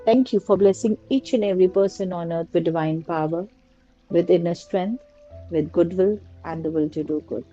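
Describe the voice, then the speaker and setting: A woman slowly guiding a prayer and meditation in a soft, repetitive litany of blessings. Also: fairly low pitch. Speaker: meditation guide. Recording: dull lo-fi recording with faint music. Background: faint music.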